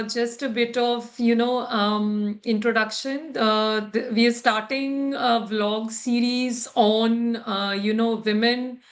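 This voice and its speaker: A woman hosting a vlog interview, speaking conversationally in halting, hesitant phrases. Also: fairly high pitch.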